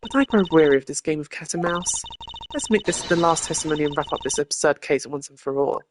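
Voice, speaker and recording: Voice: mid-range voice, fast, noisy background, natural voice. A streamer voicing a smug, mocking young woman suspect from a video game, teasing, dismissive and theatrical. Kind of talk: video game character voice acting.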